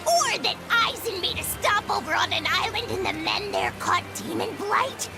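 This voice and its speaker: A woman's voice: extremely high pitch, wildly swooping pitch, moderate pace, full character voice. A woman voicing a clingy, earnest, childlike little servant creature in a video game, gushing and theatrical. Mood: pleading. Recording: game music under the voice.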